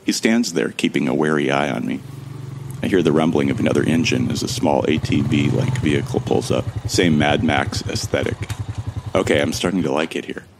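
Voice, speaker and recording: A man with an extremely deep voice narrates a sci-fi audio drama in the first person. His tone is wry and casual, with jokes and the odd swear word, and a gravelly fry runs through his speech. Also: normal speed, noisy background. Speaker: narrator and protagonist.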